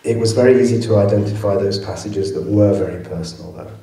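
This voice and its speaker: A man's voice: fairly low pitch, steady tone, fast talker, heavy background noise. A male film director answering audience questions at a cinema Q&A, thoughtful and prone to restarting his sentences. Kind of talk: post-screening Q&A answers. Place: cinema auditorium. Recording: echoey hall, from far off.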